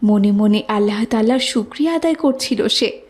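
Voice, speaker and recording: High-pitched woman narrating a story. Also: normal speed, darkish tone. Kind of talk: scripted moral story narration.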